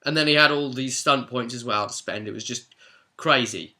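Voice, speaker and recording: A man with a British accent hosting a casual spoken recap of a tabletop role-play session as game master, telling it like a chatty, animated storyteller. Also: medium pitch, moderate pace.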